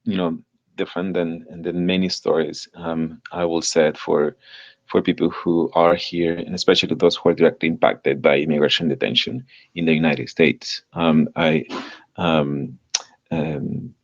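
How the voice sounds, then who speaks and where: Very deep-voiced man from El Salvador. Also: steady tone, gravelly, muffled recording. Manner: halting, many fillers and repeated words. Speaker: guest, nonprofit director. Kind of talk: live podcast interview.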